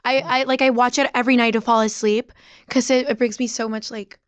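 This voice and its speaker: Soft, heartfelt young woman. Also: on the high side, flat delivery, fairly quick, dry recording. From Mexico. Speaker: band member guest. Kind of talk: casual interview chat.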